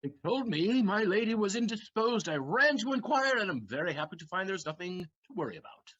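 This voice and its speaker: A man playing a quick-witted, cheeky manservant in a comic period play, theatrical and given to stammering evasions, on a slightly dull recording. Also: high-pitched, very animated, fairly bright tone, breathy edge, full character voice. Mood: smooth, obliging. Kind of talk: comic period stage acting.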